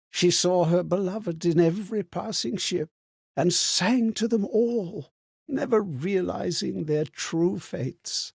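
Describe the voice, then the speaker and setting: Husky, haunted man in a fantasy game. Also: high-pitched, no echo, studio quality, exaggerated voice. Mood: grandiose, feverish. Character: anxious, amnesiac male wanderer reliving strangers' memories. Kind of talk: theatrical video game character monologue.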